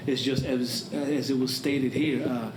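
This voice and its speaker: A man talks through points at a public meeting like an administrator answering questions. His delivery is halting, with phrases repeated as he goes. The room echoes around him and noise sits clearly behind his voice. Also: medium pitch, fairly even intonation. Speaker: school administrator at a board meeting.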